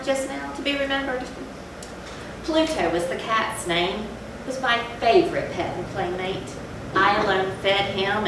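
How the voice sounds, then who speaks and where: Tormented confessor in gothic readers theatre, played by a woman: formal, deliberate delivery, distant from the mic with heavy background noise. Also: a little high, lightly acted. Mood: reflective. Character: tormented, confessing animal lover turned cruel drunkard. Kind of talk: dramatic reading of gothic horror story. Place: large echoey room, far from the mic. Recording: very echoey, distant, noisy live hall recording.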